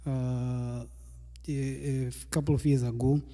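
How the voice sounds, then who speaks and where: A man in his thirties, calmly introducing his music set into a stand microphone in a small studio room. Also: mid-range voice, slow, big-room sound. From Zimbabwe. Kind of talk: spoken introduction to a live performance. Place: small indoor studio room with patterned wall hanging. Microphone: microphone on a stand in front of him.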